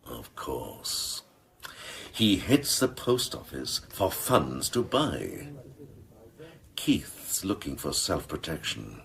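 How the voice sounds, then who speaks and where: A man's voice: big pitch swings, takes it slowly, fairly bright tone, heavily acted. A man playing a scheming, gleefully cynical senior police officer in a radio drama, with a theatrical, sardonic way of relishing his words. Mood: sly, sardonic. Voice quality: very deep, gravelly.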